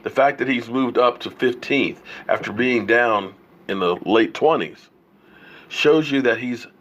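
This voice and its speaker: A man's voice: medium pitch, a little slow, a bit bright, lo-fi sound. A man hosting a sports opinion livestream while he has a cold, arguing emphatically and firing off rhetorical questions. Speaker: sports show host.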